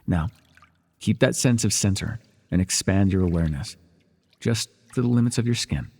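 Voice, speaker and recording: Breathy, low-voiced teasing villain mentor played by a man. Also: normal speed. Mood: patient, instructing. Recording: reverberant indoor pool echo.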